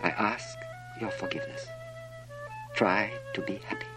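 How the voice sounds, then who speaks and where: A man's voice: on the low side, huge pitch swings, a little slow, over music. A man voicing a proud, tender female flower who holds back emotion, as in a storybook. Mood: apologetic, tender.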